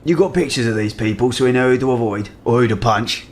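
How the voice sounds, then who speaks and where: A man voicing a cheeky, punch-happy mercenary in a tabletop game, flippant and deadpan, with a British accent. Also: medium pitch, fast talker, natural voice. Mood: flippant, pugnacious. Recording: faint background noise.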